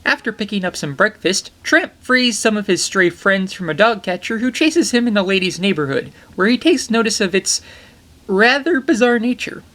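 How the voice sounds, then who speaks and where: A man's voice: high-pitched, lively intonation, normal speed, bright, forceful tone. A young man narrating a comedic film review from a small bedroom, with jokey, enthusiastic asides.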